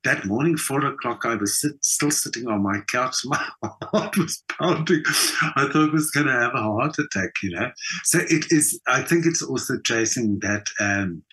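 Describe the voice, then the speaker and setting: A middle-aged South African man with a husky voice, talking reflectively in an interview, emphatic, with halting repeats and pauses. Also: medium pitch, animated, fairly bright tone. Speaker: actor and singer being interviewed.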